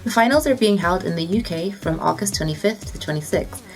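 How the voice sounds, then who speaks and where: A woman with a slightly low voice presents scripted gaming news. She narrates as an esports news presenter, with light music playing behind her. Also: animated, quick pace, rough recording.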